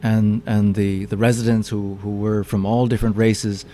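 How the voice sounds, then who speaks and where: A man's voice: fairly low pitch, flat delivery, normal speed, warm tone, slight background noise. A man giving a public lecture with slides, often stopping to repeat his words as he explains. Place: meeting room with audience.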